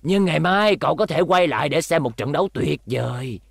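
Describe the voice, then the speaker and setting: A man voicing a sly, scheming old scientist with a gravelly voice and coaxing manner in a cartoon dub. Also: on the high side, expressive, fairly quick, dry recording. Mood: feigned innocence. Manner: sly, coaxing, chuckling villain.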